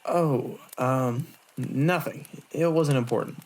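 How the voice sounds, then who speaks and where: Woman acting a young male monk, low pitch for a woman, courteous, measured, earnest, anime audio drama. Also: darkish tone, slight background noise, poor audio. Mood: tender, earnest.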